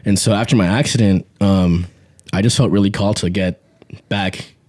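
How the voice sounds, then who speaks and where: A man's voice: sits low, moderate pace, dry recording, clean studio sound. A man in his twenties co-hosting a casual faith podcast, talking easily with fillers and restarts. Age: 20s. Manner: relaxed, frequent fillers and restarts, laughs easily. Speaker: podcast co-host. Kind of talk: casual chat. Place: small home podcast setup. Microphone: studio podcast mic.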